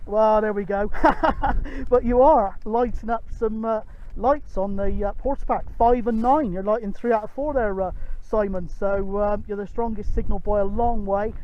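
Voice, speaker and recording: High-voiced man on radio, dull windy recording. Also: fairly even intonation, moderate pace. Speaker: CB radio operator, channel host. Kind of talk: casual radio chat with call signs. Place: outdoors on a windy mountain peak. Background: wind.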